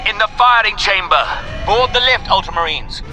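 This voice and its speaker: A man's voice: high voice, moderate pace, close to an everyday voice, poor audio. An actor playing a commanding, impatient male Inquisitor in a video game, giving imperious instructions. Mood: urgent, commanding. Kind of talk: dramatic video game acting.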